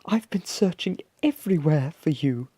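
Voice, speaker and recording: An enormous storybook monster mother played by a narrator, gentle and very courteous. The voice is lifted high, nothing monstrous about it. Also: animated, normal speed, husky, no echo.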